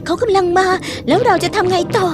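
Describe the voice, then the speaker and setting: A woman voicing a small, timid fish friend in a dubbed cartoon, squeaky and fretful. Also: fairly quick. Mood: worried. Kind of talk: cartoon voice acting. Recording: music bed under voice.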